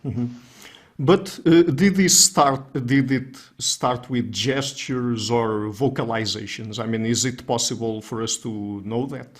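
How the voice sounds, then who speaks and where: Man, podcast host, slow speech, tentative questions, middle pitch. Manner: tentative, checks his understanding. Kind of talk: interview questions.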